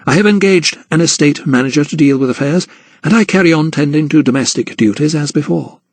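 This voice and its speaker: A man voicing a young widowed gentlewoman, polite and restrained. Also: fairly high pitch, fairly quick, no echo, pitched above the performer's own voice.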